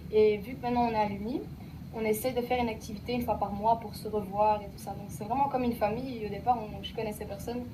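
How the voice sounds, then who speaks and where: A woman's voice: mid-range voice, fairly even intonation, fast, recorded from a distance, noisy background. Young woman, casual and hesitant, off-mic. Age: young adult. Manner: casual, many fillers, youthful. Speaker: alumna, guest. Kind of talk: radio interview.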